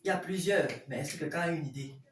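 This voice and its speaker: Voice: mid-range voice, fast talker. A language teacher giving a classroom lesson in a didactic way, carefully repeating example phrases. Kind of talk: classroom Italian lesson explained in French. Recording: roomy echo, distant mic, faint noise.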